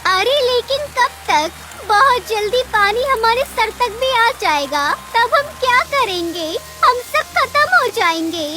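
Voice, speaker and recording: A woman's voice: very high-pitched, animated, a little slow, hardly any pauses, loud music behind the voice. A woman voicing a small, earnest mother bird in a children's cartoon story, with an urgent, childlike delivery. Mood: worried. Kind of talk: children's animated moral story acting.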